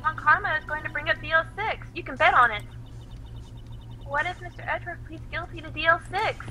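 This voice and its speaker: A young woman voicing a curious, excitable young female sidekick in a game dub. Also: high voice, a little fast, faint music behind. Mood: worried.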